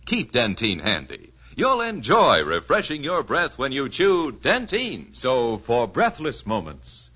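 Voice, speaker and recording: A man's voice: medium pitch, wildly swooping pitch, slow pace, hardly any pauses, bright, forceful tone, breathy edge. A man reading a scripted mid-century radio commercial as the announcer. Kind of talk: 1950s radio advertisement read. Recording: muffled old radio recording.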